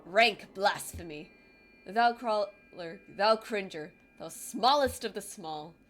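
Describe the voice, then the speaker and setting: A woman's voice: mid-range voice, expressive. A woman doing a haughty ancient seeker. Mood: scornful. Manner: loud, slow, theatrical proclamation in archaic speech. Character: haughty ancient godlike seeker, scornful, grandiose. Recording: game music under the voice. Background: game music.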